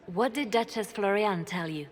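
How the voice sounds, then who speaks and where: An actress voicing a shrewd, ruthless female spymaster in a video game, with a measured, soft-spoken delivery. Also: mid-range voice, close to an everyday voice. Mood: cool. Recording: game dialogue over music.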